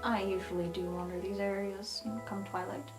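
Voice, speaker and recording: A woman voicing a cryptic swamp-wandering guard in a fantasy game, with halting delivery and old-fashioned wording. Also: mid-range voice, steady tone, natural voice. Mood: grave, matter-of-fact. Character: cryptic, formal swamp-wandering guard giving grave warnings. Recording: clear music under the voice.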